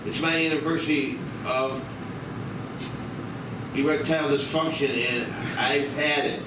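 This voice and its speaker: A man reading the part of a bitter, desperate bomber in an amateur comedy table read, in halting phrases. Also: a little high, fairly even intonation, natural voice. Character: bitter desperate male bomber threatening a plane. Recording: echoey, noisy room, far from mic.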